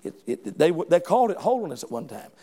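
A man's voice: a little high, very animated, quick pace, mellow, breathy edge. A middle-aged man preaching a sermon in a fervent, folksy way, often restarting phrases and calling out "come on.".

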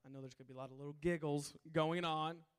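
A hoarse man preaching to a camp crowd in a large hall, calling for responses from the audience. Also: fairly high pitch, steady tone, fast talker. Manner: call-and-response, coaxing the crowd. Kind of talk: sermon to a youth camp crowd. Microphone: handheld stage mic.

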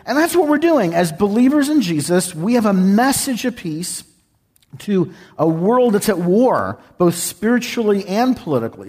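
A man's voice: high voice, darkish tone, husky. A man from Texas, a guest speaker giving a folksy, joking sermon in a church. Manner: folksy, joking, sings with congregation. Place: church sanctuary.

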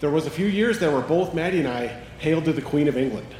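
A man with a Canadian accent, a ministry coach, telling his story during a sermon in a relaxed way. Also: fairly high pitch, fast talker. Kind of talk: co-teaching a sermon. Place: church sanctuary. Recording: echoey hall, faint ambience.

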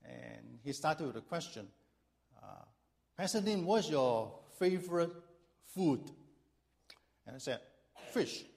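A man's voice: fairly high pitch, animated, takes it slowly, vocal fry, pauses often, a little mellow. A middle-aged male pastor from Hong Kong preaching in a church, reading scripture and then telling a humorous personal story with frequent fillers.

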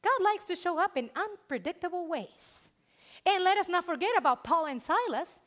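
High, lively Spanish-accented woman preacher. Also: moderate pace. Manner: earnest, emphatic preaching with swells of intensity. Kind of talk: sermon.

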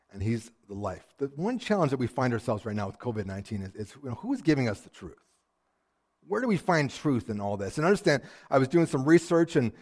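A man preaching a sermon, telling stories with lots of energy, often repeating words as he starts a sentence, and dropping to a hush to drive a point home. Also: medium pitch. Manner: animated storytelling, swings from loud to hushed. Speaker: pastor.

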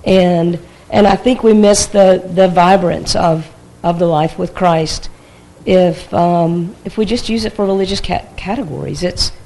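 A church discussion group member sharing a reflection in a halting, thoughtful way, often restarting sentences. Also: mid-range voice, steady tone, mellow, low-quality recording.

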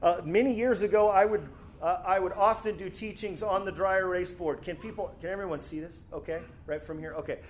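A man preaching a sermon and reading scripture, talking easily with some fillers, a little hoarse from a cold. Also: high-pitched, brisk. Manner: relaxed, conversational, with fillers and restarts. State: sore throat and a cold. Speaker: pastor. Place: church sanctuary.

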